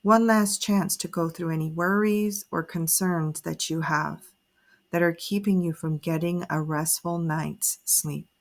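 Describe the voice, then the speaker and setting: A woman narrating a guided sleep meditation in a soothing, measured, instructive way. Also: fairly low pitch, steady tone. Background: soft music.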